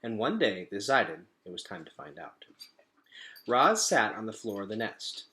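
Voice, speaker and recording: A man reading a children's storybook aloud to pupils in an expressive, deliberate storytelling manner. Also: fairly low pitch.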